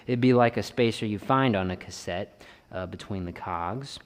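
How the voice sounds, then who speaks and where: A man's voice: fairly low pitch, moderate pace, smooth. A man giving a casual hands-on tutorial, thinking aloud informally as he works. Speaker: bike vlogger and host. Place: indoor garage or shed workshop with plywood walls. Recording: reverberant workshop room sound.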